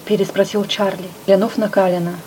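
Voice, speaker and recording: A woman narrating a first-person story with a lively, expressive reading. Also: mid-range voice, brisk, slight background noise, rough recording. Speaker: audiobook narrator.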